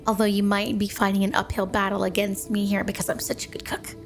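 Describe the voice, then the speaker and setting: A woman's voice: medium pitch, little pitch movement, fast, gravelly, lightly acted. A woman voicing a sweet, obsessively devoted yandere snow woman wife in a flirty, doting ASMR roleplay spoken to the listener. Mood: doting, eager. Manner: sugary, doting, flirty, clingy. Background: music.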